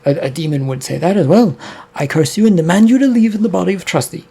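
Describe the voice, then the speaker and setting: Scared stubborn preacher standing up to a demon, husky tone, read by a man. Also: high voice, animated, quick pace, dark tone, voice pushed up, heavily acted. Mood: fearful defiant. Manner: nervous but defiant. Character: fearful stubborn male preacher.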